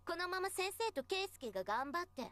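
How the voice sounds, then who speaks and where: A sharp-tongued teenage schoolgirl from a visual novel game, taunting and scornful. Her voice is high and races along with animated swings. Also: rapid-fire, no echo, full character voice. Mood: scornful, mocking.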